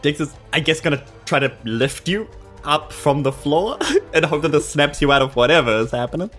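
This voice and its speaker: A woman's voice: low voice, fairly quick, faint music behind. A woman chatting casually as a player in a tabletop roleplaying game.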